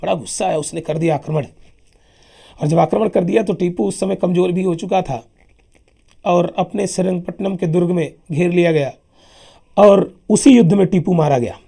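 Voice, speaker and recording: A man with a sore throat teaching a lively history lesson for exams, emphatic and chatty, often calling his listeners sir. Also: sits high, moderate pace. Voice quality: husky, gravelly. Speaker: exam coaching teacher. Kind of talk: lively classroom history lecture.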